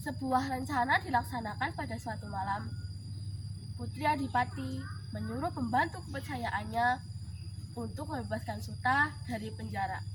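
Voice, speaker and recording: Storyteller: woman with a high-pitched voice, narrating a legend expressively and deliberately, noisy recording. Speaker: storyteller in a school storytelling contest. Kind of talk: expressive scripted folk-tale narration. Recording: clearly noisy background.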